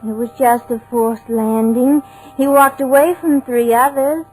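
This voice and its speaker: A dazed, dreamy housekeeper. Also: female voice, sits high, steady tone, measured pace, a little mellow, soft background music, poor audio. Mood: eerily sure. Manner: dreamy, fixed belief. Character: dazed young housekeeper awaiting lost husband. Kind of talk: 1940s radio acting.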